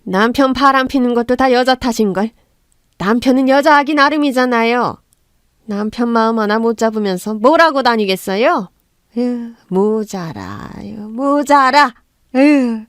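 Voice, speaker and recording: A woman's voice: fairly high pitch, unhurried, no echo, clean studio sound, natural voice. A woman voicing a scornful, insulting older mother-in-law who shrieks and sneers.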